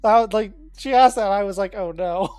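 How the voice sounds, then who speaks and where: A woman playing a tabletop game, chatty and teasing with laughter often slipping in. Her voice is mid-pitched. It is recorded close and dry. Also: fairly quick, darkish tone, no echo, faint music behind. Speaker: player.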